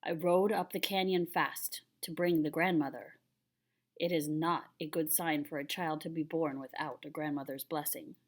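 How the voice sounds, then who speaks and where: A woman's voice: deep voice, steady tone, moderate pace. A woman voicing a patient, solemn old grandfather who tells a tale in a grave, tender way, reading a children's picture book. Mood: solemn, hushed.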